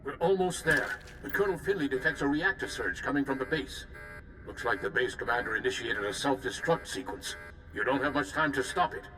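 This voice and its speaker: A man playing an earnest, steady Jedi commander who gives serious tactical briefings in a video game. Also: mid-range voice, a little fast, background noise, close to an everyday voice. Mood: urgent. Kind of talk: video game cutscene acting. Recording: long hall reverb, faint game music.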